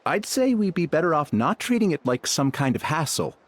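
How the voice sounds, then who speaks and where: A man's voice: a little high, very animated, a little fast, dry recording. An actor playing a reasonable, persuasive male tribe chief in a video game.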